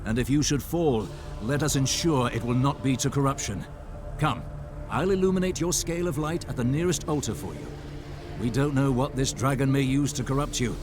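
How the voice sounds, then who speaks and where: An actor playing a solemn, devout hunter in a game cutscene, speaking formally. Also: male voice, medium pitch, normal speed, over music, close to an everyday voice. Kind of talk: video game cutscene acting.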